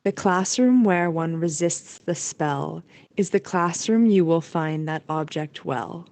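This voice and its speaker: A woman's voice: fairly low pitch, takes it slowly, darkish tone. A woman reading scripted storytelling narration for an audio play.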